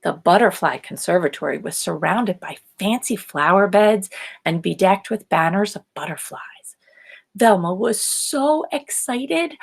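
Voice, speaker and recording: A woman narrates a children's picture book with lively, expressive pitch. Her pace is slow and deliberate, leaning on key words, and her voice has some gravelly creak. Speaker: storybook reader.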